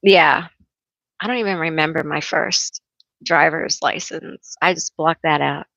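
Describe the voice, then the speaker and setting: Bright-voiced, slow-talking woman tarot reader. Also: low voice, creaky, no echo, highs cut off. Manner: chatty, laughs often, self-teasing; calls herself loud, a yeller. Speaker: tarot reader hosting a live stream. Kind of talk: casual live-stream chat.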